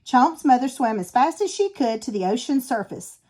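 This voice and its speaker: A woman reading a children's storybook aloud as an expressive narrator. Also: a little high, normal speed. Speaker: storybook reader.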